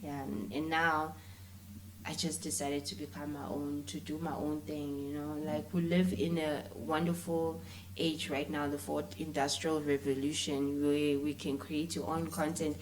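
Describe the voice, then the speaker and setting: A woman with a South African accent and a slightly low voice. She chats informally and in an animated way as a guest in a relaxed interview, switching between languages. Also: unhurried, slight background noise, poor audio. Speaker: interview guest, filmmaker and content creator. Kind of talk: casual conversational interview, code-switching.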